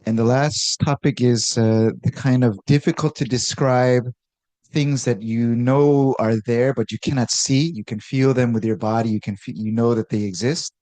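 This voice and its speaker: Relaxed man giving an artist talk. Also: mid-range voice, dry recording. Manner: relaxed, lots of uh fillers and restarts.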